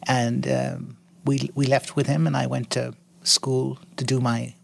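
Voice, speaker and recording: A man in his sixties from India, a guest in an interview, speaking in an articulate, measured way with frequent ums and restarts. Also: medium pitch, flat delivery, creaky, no room sound. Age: 60s. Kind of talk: interview conversation.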